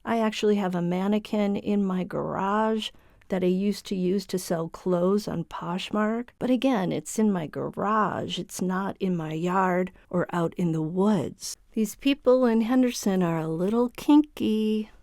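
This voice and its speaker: A woman's voice: mid-range voice, a little slow, gravelly, darkish tone, no echo, clean studio sound. A woman hosting a true crime show, narrating and commenting on events.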